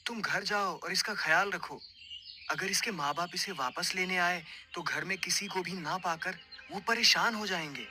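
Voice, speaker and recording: A man's voice: high voice, normal speed, sharp tone, faint music behind. A man voicing a practical, questioning village farmer and husband in a cartoon moral story, earnest and admonishing.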